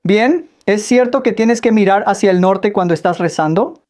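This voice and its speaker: A woman leads a question-and-answer lecture with her voice at a middle pitch. She explains points patiently and turns questions back to her listeners. Now and then she drops a light joke. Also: moderate pace, darkish tone. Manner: patient, explanatory, quizzes the audience with light jokes. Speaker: speaker answering audience questions on Islam. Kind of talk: Q&A lecture in Spanish voice-over.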